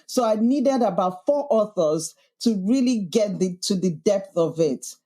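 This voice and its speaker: A woman's voice: medium pitch, lively intonation, measured pace, dark tone. Woman, Yorkshire accent, podcast host as guest, thoughtful and emphatic. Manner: earnest, thoughtful, with frequent restarts and emphatic lists. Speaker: podcast host being interviewed. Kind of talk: reflective conversational interview.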